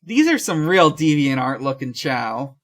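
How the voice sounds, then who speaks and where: A podcast host recapping a comic in casual, low-key talk with halting delivery. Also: very animated, heavy background noise.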